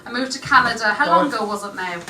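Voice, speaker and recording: A woman in her thirties with a Dublin Irish accent, doing a stand-up set in a brash, profane way with deadpan punchlines. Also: a little high, quick pace. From Ireland. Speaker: stand-up comedian. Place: comedy club stage. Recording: echoey stage sound from a distance with noise. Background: laughing audience.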